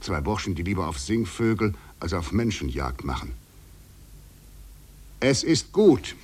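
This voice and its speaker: A man's voice: deep voice, big pitch swings, unhurried, a little mellow, a little hiss or hum. An actor in a 1950s literary radio drama playing a taunting, eloquent male fugitive in a poetic, ironic manner. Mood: brooding, resigned.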